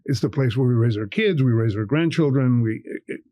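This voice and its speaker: A man's voice: medium pitch, a little fast, dry recording, lo-fi sound. Older man, passionate and emphatic. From Cuba. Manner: passionate, repeats words when stressing a point. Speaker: bank executive. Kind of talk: podcast interview.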